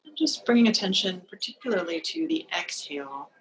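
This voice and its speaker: A woman leading a guided meditation as a teacher, speaking in a calm, gentle, unhurried manner with long pauses, over a video call. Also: lowish voice. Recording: noisy live video-call recording.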